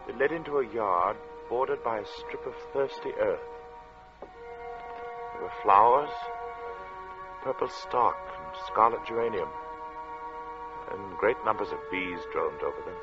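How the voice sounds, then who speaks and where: An actor playing a brooding, haunted artist who narrates his story in a deliberate, dramatic 1940s radio style. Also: medium pitch, lightly acted. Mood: brooding, dreamy. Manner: deliberate, dramatic, with weighted pauses. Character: male artist recounting a strange, oppressive hot day, brooding and haunted. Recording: dull vintage radio recording with loud music.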